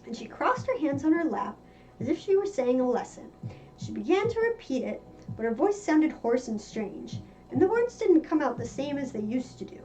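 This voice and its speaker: High-pitched, animated woman reading a story. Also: moderate pace, a little hiss or hum. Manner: theatrical, expressive. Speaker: storybook reader, cosplayer in character. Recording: muffled lo-fi with music behind. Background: faint music.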